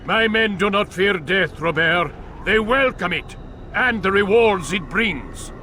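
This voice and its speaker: An actor playing a proud older master of assassins in a video game, speaking with measured, theatrical authority. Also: male voice, high-pitched, expressive, sharp tone, slight background noise, heavily acted. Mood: defiant, proud. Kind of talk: video game cutscene acting.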